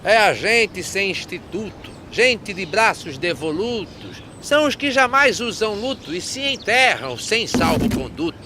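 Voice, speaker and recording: An actor playing a grumbling, sardonic gravedigger in an animated film, declaiming rhymed verse. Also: male voice, high voice, unhurried, few pauses, background noise. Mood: bleak, wry.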